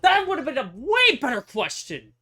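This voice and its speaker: A man giving casual, unscripted gameplay commentary as a streamer, blunt and sweary, thinking out loud. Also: extremely high pitch, wildly swooping pitch, moderate pace. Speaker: game streamer.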